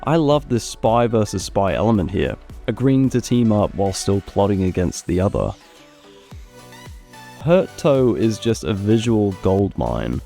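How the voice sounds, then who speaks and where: A man hosting a gaming video, narrating a review in an expressive, opinionated way into a close mic. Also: fairly low pitch, measured pace, creaky. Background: background music.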